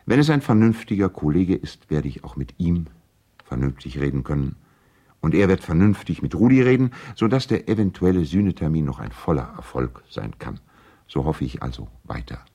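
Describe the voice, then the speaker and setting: Polite peacemaker lawyer, old german radio play, really low soft-toned man, formal and measured. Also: animated, husky, heavily acted. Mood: earnest, hopeful. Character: courteous, conciliatory male lawyer and family friend. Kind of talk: 1970s German radio play, letter monologue.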